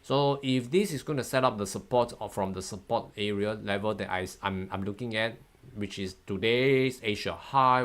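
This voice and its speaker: A man's voice: on the low side, a bit bright. A man in his fifties, a native Mandarin speaker, running a live trading lesson in a chatty, upbeat way, often laughing and thanking viewers. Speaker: forex trading educator. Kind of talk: live-stream chart analysis.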